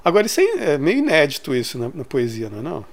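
A man's voice: on the high side, huge pitch swings, racing. A man with a Brazilian accent chatting casually and enthusiastically as a podcast host, in colloquial language. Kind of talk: casual chat about literature.

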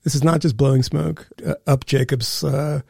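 A man's voice: fairly high pitch, fairly even intonation, normal speed, creaky, mellow, husky, no room sound, clean studio sound. A man hosting a podcast, joking, teasing and rambling through a casual chat. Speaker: podcast host.

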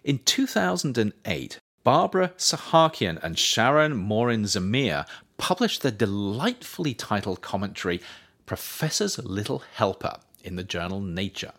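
A man reading his own nonfiction book aloud as scripted narration, with measured, deliberate phrasing. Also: lowish voice, big pitch swings, fairly bright tone.

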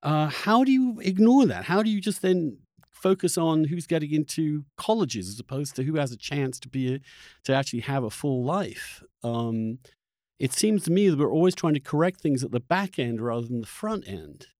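Halting, searching male interviewer, close dry sound. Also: on the high side, lively intonation, a little mellow, no echo. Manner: halting, searching, often restarting phrases mid-sentence. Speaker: podcast host interviewing a guest. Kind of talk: reflective long-form interview conversation.

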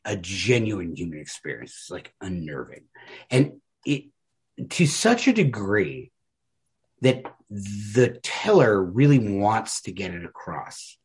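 A man's voice: lowish voice, a little mellow, husky. A man co-hosting a podcast, talking casually and earnestly about stories in informal, slangy language with plenty of emphasis. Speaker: podcast co-host. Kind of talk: casual literary discussion.

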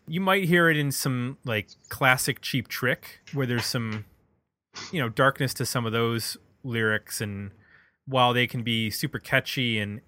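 A man's voice: medium pitch, bright, forceful tone, no echo. A man hosting a podcast in a casual music review chat, thinking aloud in a conversational way. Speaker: podcast host.

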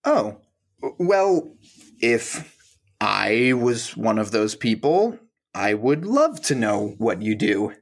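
A young man, awkward and secretly smitten, in a romantic comedy audio drama. His delivery is slow and halting, full of stammers and nervous rambling, at a medium male pitch. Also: sing-song, heavily acted. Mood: awkward, flustered. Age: young adult. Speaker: actor in an audio play.

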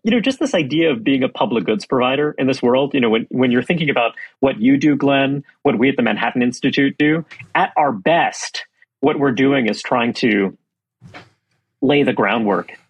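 A man's voice: medium pitch, expressive, moderate pace, breathy edge, no echo, highs cut off. A man from Brooklyn, New York, heading a think tank, talking in an interview with many fillers and restarts. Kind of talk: conversational interview.

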